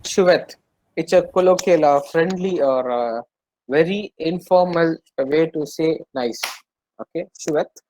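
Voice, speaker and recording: A man's voice: a little high, slow, warm tone, slight background noise, poor audio. A man with an Indian accent teaching a French lesson, translating line by line, repeating phrases and checking understanding with short questions.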